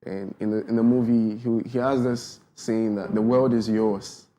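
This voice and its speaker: A young Ghanaian man talks easily about his music as an interview guest, his voice mellow and warm. His pace is unhurried and relaxed, and he keeps checking in with "do you understand?". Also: lowish voice, low-quality recording. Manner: laid-back, often asks "do you understand?". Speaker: rapper guest. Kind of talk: casual interview chat.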